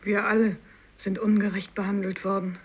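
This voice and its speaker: Eine Schauspielerin spielt in einem literarischen Hörspiel eine trauernde, vorzeitig gealterte Fischersfrau. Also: female voice, medium pitch, little pitch movement, normal speed, husky, natural voice. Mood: sorrowful. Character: bereaved fisherwoman, aged beyond her years, secretive grief. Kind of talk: 1950s literary radio play acting. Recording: dull, lo-fi radio recording.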